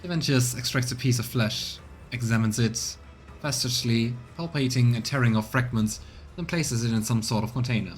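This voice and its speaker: A man's voice: mid-range voice, normal speed, faint music behind. A man doing a casual game stream, reading narration aloud and musing between lines. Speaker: let's play streamer. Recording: noticeable background noise.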